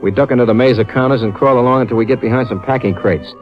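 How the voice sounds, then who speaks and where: An actor playing a wisecracking, unflappable hard-boiled private eye, narrating and talking in dry slang in an old-time radio drama. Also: male voice, medium pitch, rapid-fire, natural voice. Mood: wry. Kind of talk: old-time radio noir acting and first-person narration. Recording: muffled vintage radio recording, reverberant, music behind.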